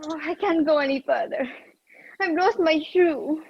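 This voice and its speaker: High-voiced indignant young woman played by an actress. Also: measured pace. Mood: frightened, straining. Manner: indignant, protesting. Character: Pamela, indignant young woman, haughty and stubborn. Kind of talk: old-fashioned radio play acting. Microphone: video call. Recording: muffled video-call audio.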